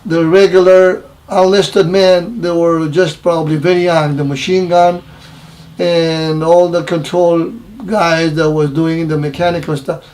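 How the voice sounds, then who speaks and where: A man in his seventies from Romania with a Romanian accent, recounting his wartime childhood in an interview in halting, repetitive English. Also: fairly high pitch, darkish tone, rough recording. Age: 70s. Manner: halting, repeats phrases, broken English grammar. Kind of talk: personal wartime recollection interview.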